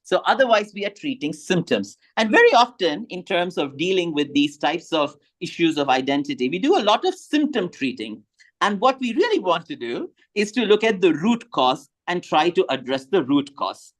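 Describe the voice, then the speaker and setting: A man's voice: high voice, very animated, normal speed, a bit bright. A man whose first language is Tamil, giving a thoughtful slide presentation and rising in emphasis on key points.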